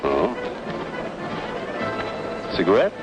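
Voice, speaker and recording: An urbane doctor in vintage film noir style. His voice is low and his manner measured, inquisitive and dryly wry. Music sits behind the old soundtrack. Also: wildly swooping pitch, dull audio, exaggerated voice. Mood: calm, probing. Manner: measured, probing, conversational.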